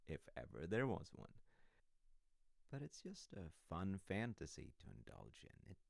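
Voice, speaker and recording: A very low-voiced man acting as a doting, cheeky husband speaks slowly and intimately, close and dry. His delivery swings widely in pitch, teasing and sheepish in the manner of a bedside ASMR roleplay. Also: vocal fry, no echo, exaggerated voice. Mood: animated, wry. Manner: teasing, halting, hushed stretches breaking into animated bursts. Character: sassy, loving husband confessing sheepishly to his sleepy wife.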